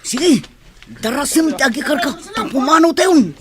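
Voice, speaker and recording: A man's voice: extremely high pitch, expressive, normal speed, a crowd in the background. A man with an Ilocano accent playing a greedy, confident bank robber in a radio drama. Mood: urgent.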